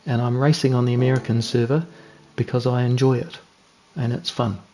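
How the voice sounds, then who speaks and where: A man's voice: mid-range voice, little pitch movement, a little fast, dark tone, a little hiss or hum, low-quality recording. A young man with a New Zealand accent giving laid-back, chatty commentary while streaming a racing game, joking at his own mistakes. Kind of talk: casual gameplay commentary.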